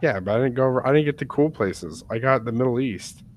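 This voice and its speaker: A man's voice: mid-range voice, expressive, quick pace, darkish tone. An American man co-hosting a casual podcast chat, telling stories with lots of laughs. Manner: chatty storyteller, laughs often. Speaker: podcast co-host.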